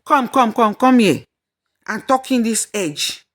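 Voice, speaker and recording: A woman's voice: a little high, animated, a little slow, voice pushed up. A woman voicing a bossy, scheming young wife who gives impatient orders in a folktale. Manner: imperious, impatient commands.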